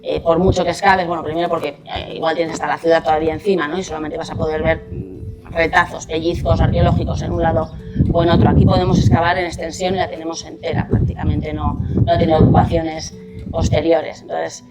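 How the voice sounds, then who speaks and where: Low-voiced woman over guitar music. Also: flat delivery, fairly quick, low-quality recording. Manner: thoughtful, hesitant with fillers. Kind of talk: documentary interview.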